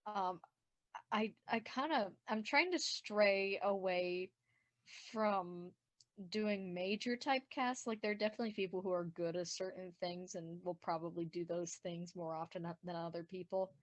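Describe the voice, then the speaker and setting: A woman's voice: fairly low pitch, normal speed, no room sound. A woman chatting casually on a podcast commentary, explaining things in a rambling, digressive way as a writer and director of the show.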